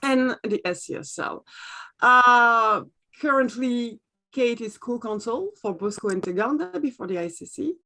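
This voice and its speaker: A woman from France with a French accent, moderating an online panel and introducing the speakers politely and a little haltingly. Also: on the high side, lively intonation, sharp tone. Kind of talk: formal introductions. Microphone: video call.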